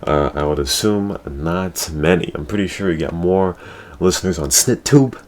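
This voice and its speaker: A man's voice: deep voice, normal speed, darkish tone. A man hosting a casual gaming talk show, talking in a crude, slangy way and repeating his catchphrases. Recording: clear background noise.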